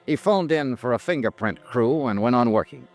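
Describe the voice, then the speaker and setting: An American actor playing a cool, accusing insurance investigator in a 1950s radio drama, with a clipped, deadpan delivery. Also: male voice, medium pitch, normal speed, lightly acted. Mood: terse narrating. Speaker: lead actor and narrator. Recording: vintage radio drama, dry, music behind.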